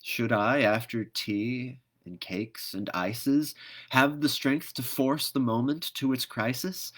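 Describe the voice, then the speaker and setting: A man reading poetry aloud in an expressive, theatrical manner, with measured phrasing and dramatic pauses. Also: mid-range voice. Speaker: poetry reader.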